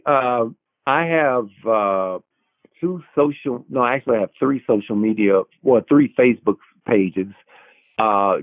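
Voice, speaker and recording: A man answering as an interviewed author, conversational, with a halting, deliberate delivery. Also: on the low side, no room sound. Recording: tinny narrow phone line.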